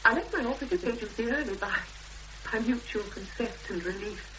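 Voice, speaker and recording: A woman playing an anxious but determined young woman in a 1970s radio drama, speaking hesitantly with thoughtful pauses. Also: fairly high pitch, a bit bright, close to an everyday voice. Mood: troubled, recounting. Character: anxious, determined young woman seeking her missing sister. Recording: noisy, hissy 1970s radio broadcast recording.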